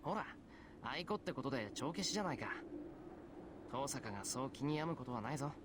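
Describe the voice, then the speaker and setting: A man's voice: mid-range voice, lively intonation, racing, background noise, natural voice. A man voicing an earnest teenage schoolboy apprentice mage in a visual novel, plain and sincere. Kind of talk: Japanese visual novel voice acting. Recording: game voice with loud music behind.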